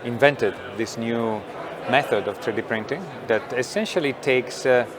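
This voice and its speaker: A middle-aged man, a company founder explaining technology in an interview, thoughtful with many pauses and fillers. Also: mid-range voice, crowd noise. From Italy. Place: trade show booth studio.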